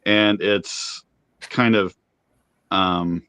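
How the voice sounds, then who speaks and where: Low-voiced thoughtful man. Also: fairly even intonation, drawn-out words, sharp tone, clean tone, dry recording. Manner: thoughtful, hesitant. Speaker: new guest. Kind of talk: casual chat.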